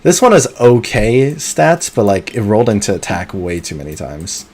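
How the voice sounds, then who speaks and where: A man streaming a video game live, chatting casually and muttering to himself between bursts of excitement. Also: lowish voice, normal speed, slight background noise. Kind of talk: live gameplay commentary.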